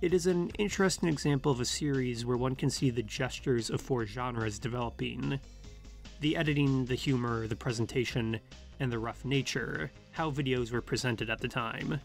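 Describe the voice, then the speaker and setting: A man narrating a scripted analysis in a measured, formal manner as a video essayist. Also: mid-range voice, gravelly. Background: music.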